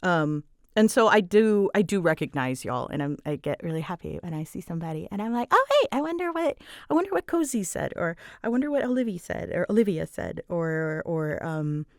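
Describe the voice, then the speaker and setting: A woman's voice: fairly low pitch, sing-song, brisk, dry recording, studio quality. A woman chatting casually and unscripted as she answers listener questions, nervous and giggly, often interrupting herself and bouncing between thoughts. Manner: scattered, rambling, jumps between thoughts. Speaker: audio creator and voice actor answering listener questions.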